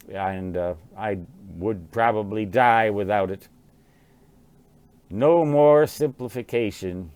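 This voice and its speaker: An American man in his sixties, musing aloud in a halting, rambling monologue and often repeating words. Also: fairly low pitch, darkish tone. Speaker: vlogger, writer and ham radio hobbyist.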